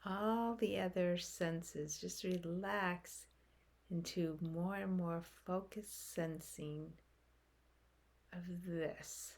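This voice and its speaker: A woman leading a guided meditation in a gentle, unhurried manner, speaking in short phrases with long pauses. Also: on the low side, steady tone, vocal fry.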